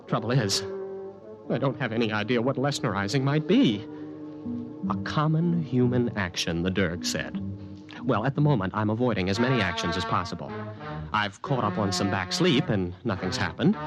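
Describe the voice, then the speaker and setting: A man playing a nervous, obsessive young man who narrates his ordeal with agitated intensity in 1950s radio acting. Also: on the low side. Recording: old 1950s radio broadcast.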